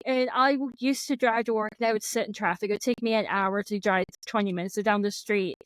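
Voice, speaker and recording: A woman's voice: medium pitch, fairly even intonation, fairly quick, dry recording, poor audio. A woman from Florida with a Floridian American accent, hosting a casual podcast chat and filling her talk with "like" and "yeah.". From Florida, United States. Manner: chatty, lots of "like" and "yeah". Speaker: podcast host. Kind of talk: casual conversation.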